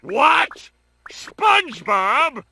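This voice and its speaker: Grandiose little cartoon villain, piercing forceful tone, high pitch, irritable, theatrical menace. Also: male voice, big pitch swings, drawn-out words, creaky, no room sound, studio quality, heavily acted. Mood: smug, menacing. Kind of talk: cartoon video game acting.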